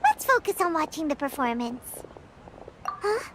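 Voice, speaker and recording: Tiny squeaky game fairy, super high and bouncy, chirpy, curious, noisy background. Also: female voice, very animated, unhurried. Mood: musing. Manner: squeaky, exclaiming, speaks of self in third person. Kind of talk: video game character dialogue.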